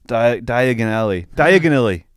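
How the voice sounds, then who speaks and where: A man's voice: mid-range voice, animated, moderate pace, clean tone, no room sound, clean studio sound. A man co-hosting a podcast, chatting casually in a joking, informal way. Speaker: podcast co-host. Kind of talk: casual comedic chat.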